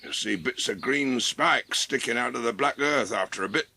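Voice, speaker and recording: An actor playing a grumpy old gardener with a gruff manner and a Yorkshire accent in a dramatised audiobook. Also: male voice, mid-range voice, moderate pace, bright, forceful tone, exaggerated voice. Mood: earthy, keen. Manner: gruff, dialect-heavy. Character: grumpy old male Yorkshire gardener, earthy, grudgingly kind. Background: birdsong.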